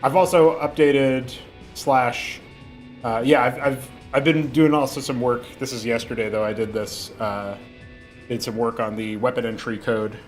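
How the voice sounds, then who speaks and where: Young male streamer with a slight lingering cough, middle-pitched voice, casual rambling chat, faint music behind. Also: steady tone, a little slow. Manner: rambling, with frequent fillers and restarts.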